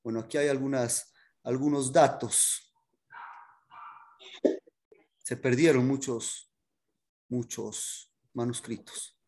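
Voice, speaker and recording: A man teaching a music history class in an informal, halting explanatory way, often checking in with his students. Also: mid-range voice, fairly even intonation, darkish tone.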